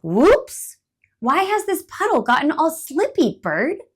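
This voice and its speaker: Storybook squirrel, a woman putting him on: small, fussy, excitable, high and loud, animated. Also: takes it slowly, heavily acted. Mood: excited. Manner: exclamatory, high and loud, childlike questions. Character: excitable little male squirrel, curious and fussy. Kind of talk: storybook read-aloud voice.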